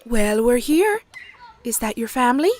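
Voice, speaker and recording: Woman as a high-voiced, coaxing motherly pony stranger. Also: sing-song, moderate pace, faint music behind. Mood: gentle, coaxing. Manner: gentle, coaxing. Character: sweetly soothing, eerie motherly female stranger pony. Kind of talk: animated comic dub acting.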